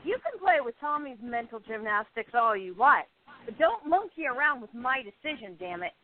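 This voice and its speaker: High, sassy young actress, played by a woman. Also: normal speed. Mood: irritated. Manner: sassy, expressive. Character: feisty, sarcastic young female actress, fond but stubborn. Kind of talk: radio play acting. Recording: tinny, band-limited phone-like sound.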